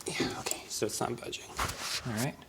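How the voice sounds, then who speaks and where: A man talking casually through a car repair log in a monotone, hesitant way. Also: a little fast, in a whisper. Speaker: car owner narrating his own repair log. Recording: noticeable background noise.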